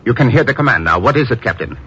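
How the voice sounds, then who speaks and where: An actor playing a careful, commanding doctor and hypnotist in a 1950s radio drama, deliberate and clinical. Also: male voice, medium pitch, expressive, a bit bright, natural voice. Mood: commanding, probing. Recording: muffled, noisy old radio recording.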